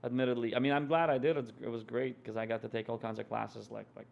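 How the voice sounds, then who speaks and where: A man from Ukraine, a guest musician telling stories in a casual interview with a relaxed manner and many fillers. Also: medium pitch, fast talker, creaky, dull audio. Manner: relaxed storytelling with frequent fillers.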